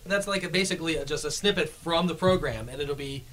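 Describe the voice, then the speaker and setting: A man's voice: fairly high pitch, quick pace, a bit bright. A man hosting a casual radio interview in an enthusiastic, chatty way.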